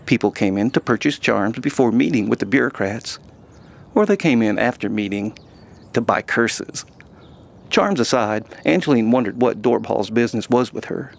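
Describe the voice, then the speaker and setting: A man's voice: fairly low pitch, vocal fry. A man hosting and narrating scripted fantasy stories. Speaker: host and narrator.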